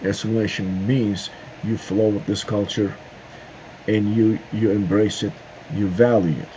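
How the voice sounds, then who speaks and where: A middle-aged man from Turkey, speaking a halting opinion monologue to camera with many pauses and "uh"s. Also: fairly low pitch, fairly even intonation, dark tone. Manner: halting, searching for words with frequent "uh" and "um" pauses. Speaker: video host. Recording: dull lo-fi sound with faint noise.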